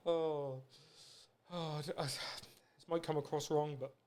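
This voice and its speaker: British guy hosting a podcast, pretty high voice, chatty and rambles through stories. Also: slow, a little mellow, a little hiss or hum. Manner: informal, rambling, anecdotal. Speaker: podcast host. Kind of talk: casual chat.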